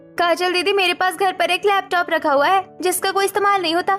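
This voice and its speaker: A young daughter-in-law in a cartoon family drama played by a woman. Her voice is extremely high, earnest and plaintive, with faint music behind. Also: steady tone, brisk, a bit bright. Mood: subdued, sincere.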